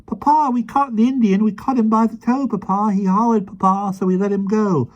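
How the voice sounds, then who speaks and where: A man reading a storybook aloud, voicing three little boys who chant in squeaky, eager voices. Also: fairly even intonation, brisk, lo-fi sound, natural voice.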